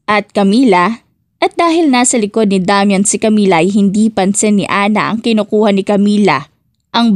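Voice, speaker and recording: A woman's voice: medium pitch, no echo, studio quality. A woman reading scripted dramatic narration as the narrator of an audiobook love story.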